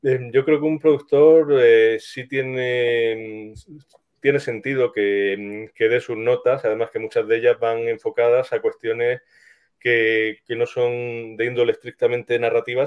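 A man's voice: medium pitch, level tone, normal speed, smooth. Dull-sounding, thoughtful man answering questions. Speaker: screenwriter being interviewed. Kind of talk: casual interview answers.

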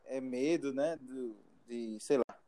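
A man's voice: mid-range voice, slow pace, a crowd in the background. A man hosting a casual podcast chat, laughing often and trailing off mid-sentence. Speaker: podcast host.

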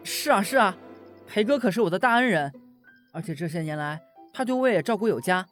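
Casting: male actor as deferential twenty-something office junior, high-pitched and very animated, polite, for audio drama. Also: a little fast, soft background music. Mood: eager, grateful. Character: eager, deferential young male employee in his twenties. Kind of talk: amateur Chinese audio drama acting.

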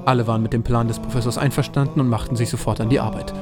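Brisk male storyteller over music. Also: medium pitch, steady tone. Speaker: narrator. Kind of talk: scripted audio drama narration.

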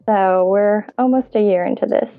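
A woman's voice: medium pitch, moderate pace, soft background music. Woman in her thirties on a phone line. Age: 30s. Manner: chatty, laughs often while telling stories. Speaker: podcast guest. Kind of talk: casual interview chat.

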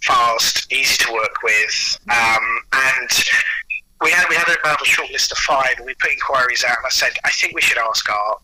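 A man's voice: mid-range voice, normal speed, sharp tone, a little hiss or hum, low-quality recording. A man speaking as an interview guest, enthusiastic and anecdotal in casual chat. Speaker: audio producer and actor, interview guest.

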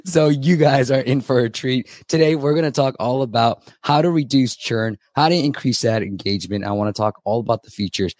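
Male podcast host, raspy voice, mid pitch, upbeat and chatty, dry close recording. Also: darkish tone, no room sound. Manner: upbeat, chatty, quick asides. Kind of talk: casual interview.

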